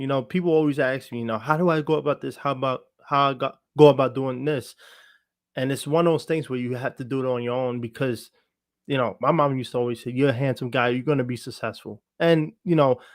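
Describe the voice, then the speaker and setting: A man's voice: medium pitch, quick pace, dry recording. Self-assured man, close dry sound. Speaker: podcast guest, actor and entertainer. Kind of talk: candid casual conversation, telling their own story. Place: online video call for a livestream podcast.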